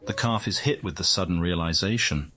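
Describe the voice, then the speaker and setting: A man performing a scripted nature-documentary narration in a game, with a solemn, measured, dramatic delivery. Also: deep voice, pushed and bright. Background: music.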